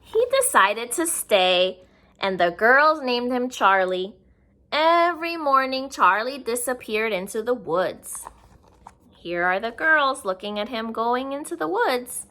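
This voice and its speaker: A woman's voice: on the high side, lively intonation, takes it slowly, sharp tone. A woman reading a picture book aloud to young children, expressively and with simple explaining asides. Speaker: children's story reader.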